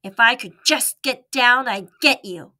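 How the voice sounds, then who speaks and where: A woman's voice: on the high side, a little slow, pushed and bright, voice pushed up. A woman voicing a small, spiteful terrier in a storybook, yapping and taunting.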